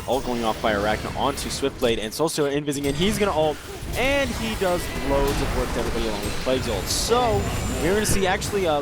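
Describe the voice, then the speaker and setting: A man giving live play-by-play commentary on a video game match, joking and teasing his co-caster. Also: mid-range voice. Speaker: esports caster.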